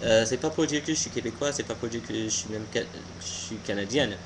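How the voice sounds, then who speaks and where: A young American man, a native English speaker, giving an informal opinion monologue haltingly, searching for words. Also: medium pitch, noisy background. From United States. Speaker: video blogger.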